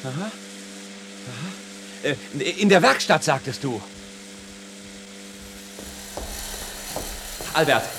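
An actor playing an earnest, anxious hobbyist, hesitant and easily flustered, in a German radio play. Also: male voice, on the high side, expressive, normal speed, bright, forceful tone. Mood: bewildered. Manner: hesitant, touchy, bewildered. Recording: faint background noise.

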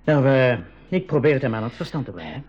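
Practical adventurer in an 80s radio play, explains stuff plainly, mid-pitched voice. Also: male voice, very animated, fast talker, a little mellow, lo-fi sound. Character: William, practical go-between and adventurer among the travellers. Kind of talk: 1980s school radio drama acting.

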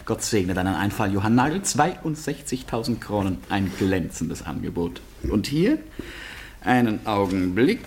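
An eccentric stranger of about thirty in a radio drama. His pitch leaps about restlessly, and he talks abruptly, swinging between courtesy and mockery. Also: a little hiss or hum. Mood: mocking, gleeful. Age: around thirty. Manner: abrupt, polite then mocking, self-questioning. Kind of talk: German radio play acting.

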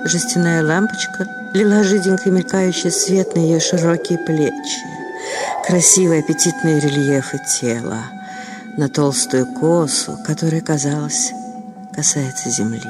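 A man's voice: a little high, measured pace. A man narrating a literary story in audiobook style, with music behind the voice.

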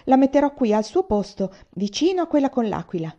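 A woman's voice: on the high side, brisk, mellow, highs cut off, voice pushed up, lightly acted. A woman voicing a boastful old male general who talks categorically and whines like a complaining boy. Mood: excited. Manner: categorical, then whining like a boy.

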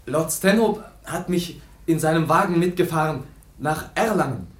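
An actor playing a naive, eager young foundling in a radio play, speaking haltingly and unsure of his words. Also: a little high, roomy sound, natural voice. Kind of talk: 1950s-style radio play acting.

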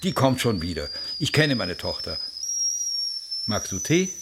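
An actor playing an unflappable old shopkeeper and father in a radio play, calm and dry with a husky voice. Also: lowish voice, unhurried, background noise. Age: older. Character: unflappable old father running a bonsai shop. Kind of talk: naturalistic radio play acting.

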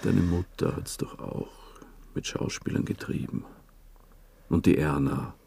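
An actor playing a menacing, taunting police inspector in a radio drama, speaking with an Austrian accent in an insinuating, domineering way. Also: male voice, a bass voice, measured pace, vocal fry, warm tone, husky, no echo, full character voice. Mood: goading, cruel.

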